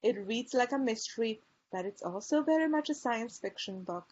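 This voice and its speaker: A woman with a mixed Polish and Chicago accent presents to a room of peers, recommending books eagerly with many ums and restarts. Her voice sits a little high. The recording sounds dull and lo-fi. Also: lively intonation, moderate pace, darkish tone. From Poland. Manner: enthusiastic book recommendations with frequent fillers. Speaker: librarian presenter. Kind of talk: conference presentation.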